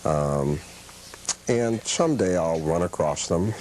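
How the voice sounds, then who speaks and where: A man in his fifties with a deep, low voice speaks as a collector being interviewed. He answers in a measured way, stopping often on fillers while he thinks. Faint noise sits in the background. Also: expressive, darkish tone, rough recording. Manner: measured, thoughtful, with many filler pauses. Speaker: collector guest.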